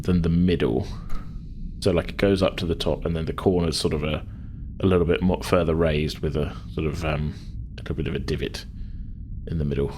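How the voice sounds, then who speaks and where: A man's voice: low-pitched, darkish tone, a little hiss or hum. A man narrating an improvised tabletop game as game master, feeling his way through descriptions with hedged, searching phrasing.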